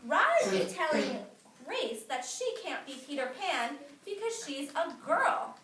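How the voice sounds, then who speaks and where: Very animated, high-pitched woman reading to children. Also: a loud crowd. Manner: expressive, emphatic, pausing to instruct the class. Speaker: teacher reading a picture book aloud to young children. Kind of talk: animated classroom read-aloud. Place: echoey indoor classroom. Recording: echoey room, distant mic, noisy, clicks.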